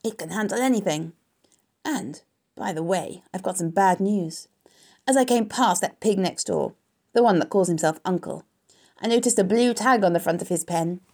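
Smug male barn rat for a storybook, played by a woman: husky, gravelly, callous, snarling and gloating. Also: mid-range voice, normal speed, a little mellow, close to an everyday voice. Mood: snarling, taunting. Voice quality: husky, hoarse.

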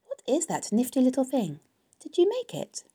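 Woman voicing an excitable high-pitched young boy piglet. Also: normal speed, husky, heavily acted. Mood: curious, amazed. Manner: excitable, wide-eyed questioning. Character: eager, innocent young male pig, easily amazed.

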